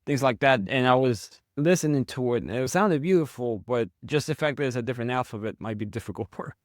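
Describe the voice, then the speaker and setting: A man speaking as an interview guest in a casual conversation, reflective and halting, often restarting his sentences. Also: medium pitch, no room sound, studio quality. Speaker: guest, founder of a literature platform.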